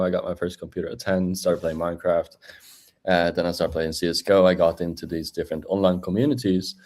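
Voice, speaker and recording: A man, chatting as a guest over a video call in a halting way with many fillers. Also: low voice, a little mellow. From Norway. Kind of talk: casual interview chat.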